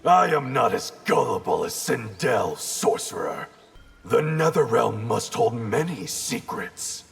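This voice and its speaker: An actor playing a stern, distrustful warrior in a video game, speaking in a curt, cold, gravelly, commanding way. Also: male voice, medium pitch, very animated, slow pace, soft background music, exaggerated voice. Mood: cold, suspicious. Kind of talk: dramatic video game acting.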